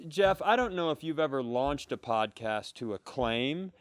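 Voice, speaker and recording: A man's voice: medium pitch, lively intonation, normal speed, no room sound. A man co-hosting a podcast, bantering casually with a dry, sarcastic streak. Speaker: podcast co-host.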